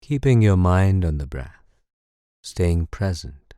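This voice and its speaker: A man leading a guided meditation in a calm, soothing, measured way. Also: deep voice, smooth, no room sound, studio quality. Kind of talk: slow guided meditation narration.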